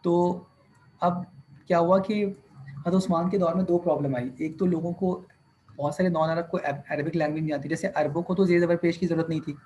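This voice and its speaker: Man, classroom teacher, slow deliberate dictation, fairly high voice, faint background noise. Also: steady tone, warm tone, dull audio. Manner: mixes English dictation with Hindi-Urdu explanation, slow and deliberate when dictating. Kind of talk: classroom lecture, dictating notes.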